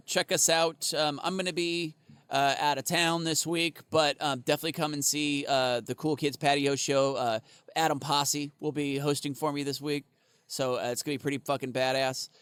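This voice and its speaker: A man's voice: a little high, fairly even intonation, fairly bright tone, smooth, no echo. A man co-hosting a comedy podcast, rambling and swearing, breaking into laughs between riffs. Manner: profane, rambling, quick to laugh. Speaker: podcast co-host. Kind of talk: loose comedy podcast banter.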